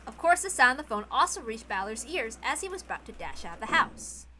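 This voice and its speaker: A woman's voice: fairly high pitch, big pitch swings, a little fast, very noisy. A woman streamer narrating a visual novel aloud, with casual asides.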